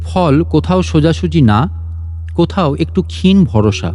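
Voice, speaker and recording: Bright-voiced man narrating a story. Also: on the high side, animated, a little slow. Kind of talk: scripted literary story narration. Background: guitar and instrumental music.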